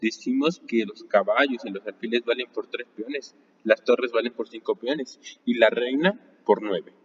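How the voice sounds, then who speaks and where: Man, live book reader, measured delivery; distant mic, long reverb, dull lo-fi sound. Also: medium pitch, breathy edge. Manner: measured reading with occasional restarts. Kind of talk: live reading aloud of a nonfiction book.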